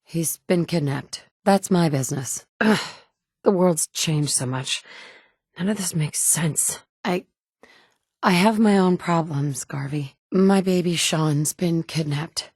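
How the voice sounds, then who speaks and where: A tough young mom in a wasteland game, blunt and snarky, lowish voice, dead dry studio sound. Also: female voice, takes it slowly, darkish tone, husky, no echo, exaggerated voice. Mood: determined. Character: determined young mother searching wasteland for kidnapped son. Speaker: player character voice actress. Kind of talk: video game voice lines. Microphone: studio mic.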